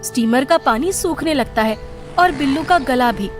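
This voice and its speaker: A woman narrates a scripted cartoon story. Her voice is clearly high in pitch, and music plays noticeably behind her. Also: animated, moderate pace.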